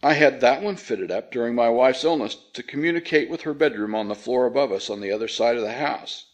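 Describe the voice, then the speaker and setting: A man's voice: medium pitch, brisk, lightly acted. Man reading as a firm, formal widowed country magistrate. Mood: composed. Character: self-possessed, grave widowed country magistrate. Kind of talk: audiobook character voice.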